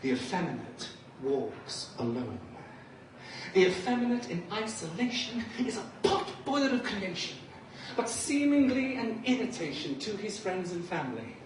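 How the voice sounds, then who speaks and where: Camp performer in an echoey, noisy room. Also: male voice, sits high, very animated, normal speed, distant mic. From Liverpool, England. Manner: camp, flamboyant, chatty with the crowd. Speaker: drag performance poet. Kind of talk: live stage poetry with banter.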